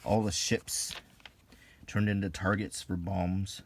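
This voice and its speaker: Deep-voiced man, musing softly aloud. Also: flat delivery, a little hiss or hum. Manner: musing, halting delivery with pauses. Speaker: video host reviewing an old magazine. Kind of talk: unscripted ASMR-style commentary while browsing a magazine.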